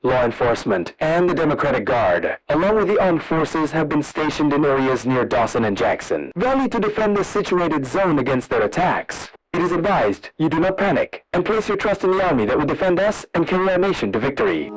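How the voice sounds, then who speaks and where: A man's voice: a little high, big pitch swings, fairly quick, few pauses, rough recording. A man delivering a scripted emergency broadcast as a formal alert announcer.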